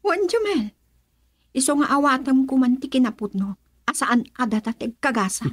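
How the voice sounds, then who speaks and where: A woman's voice: high-pitched, big pitch swings, normal speed, breathy edge, no echo, clean studio sound, full character voice. An actress playing a headstrong young woman set on marrying for money in an Ilocano Filipino radio drama. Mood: firm, unapologetic.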